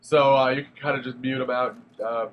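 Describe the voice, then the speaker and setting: A young man with a cold talks through a game review in a loose, rambling way, voice stuffy and nasal from congestion. He sits at a middle pitch, stumbling through fillers and false starts. Faint noise hums under the recording. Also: flat delivery, brisk, muffled recording. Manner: rambling, frequent fillers and restarts. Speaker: gaming video reviewer. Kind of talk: casual spoken game review.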